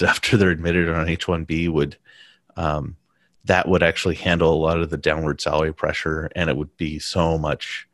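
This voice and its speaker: A man talking casually in a conversation, pausing and restarting his sentences often. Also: a bass voice, a bit bright, dry recording, clean studio sound. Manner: halting, many restarts and fillers.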